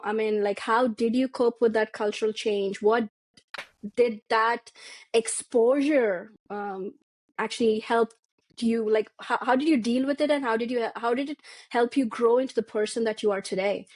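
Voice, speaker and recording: A woman's voice: a little high, a little mellow. A woman from India hosting a casual interview, asking reflective questions in a friendly way and laughing along with her guest. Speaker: podcast host.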